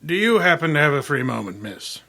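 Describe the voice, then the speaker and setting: An imperious private detective boss acted by a man in a radio mystery. His voice is fairly high for a man, bright, with a gravelly creak. He speaks formally and dryly, probing shrewdly. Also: very animated, a little fast, exaggerated voice. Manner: formal, dry, probing questions. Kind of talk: old time radio mystery acting.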